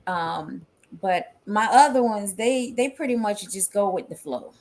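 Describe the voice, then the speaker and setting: A woman's voice: medium pitch, animated, measured pace, a little mellow. A mother on a panel, speaking directly and plainly with plenty of fillers in a casual discussion.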